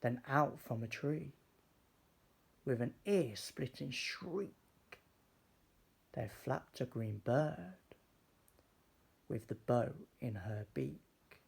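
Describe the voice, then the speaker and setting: A man reading a children's story aloud in a measured, expressive, dramatic way. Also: mid-range voice, creaky, husky. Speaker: storybook reader.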